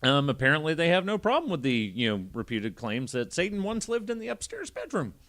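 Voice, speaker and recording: A man's voice: on the high side, huge pitch swings, fairly quick, a bit bright. A man on a podcast panel telling a story in a casual, joking, profane way with banter.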